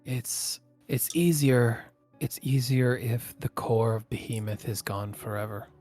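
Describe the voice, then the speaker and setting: A weary immortal guardian from a fantasy game, played by a man, stern and solemn. The delivery is measured and halting, in a medium-pitched male voice over background music. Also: darkish tone, close to an everyday voice.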